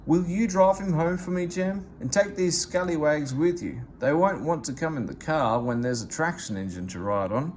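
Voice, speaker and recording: A kindly, cheerful male vicar from a storybook, as a man reading aloud puts him on. His voice is fairly high and lively in pitch. A faint hiss runs underneath. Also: moderate pace, few pauses, lightly acted.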